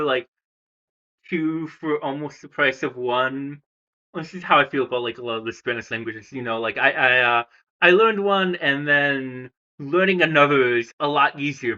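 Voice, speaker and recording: A man from the United States rambles casually on camera, stopping and restarting his sentences with plenty of ums. His voice is bright and a touch high. The sound is dull and lo-fi. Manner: hesitant, lots of fillers and restarts. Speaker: language vlogger.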